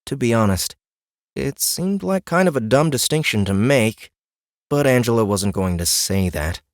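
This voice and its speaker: A man narrating a scripted fantasy audiobook in an expressive storytelling manner. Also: mid-range voice, moderate pace, no room sound, clean studio sound. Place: dry recording booth.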